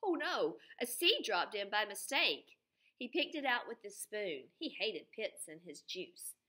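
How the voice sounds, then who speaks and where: A woman reads aloud as an elementary teacher would. Her voice is bright and sits at a medium pitch. She is animated and emphatic, stretching important words and turning to young listeners with questions. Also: a little slow. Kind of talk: expressive children's read-aloud with questions to pupils.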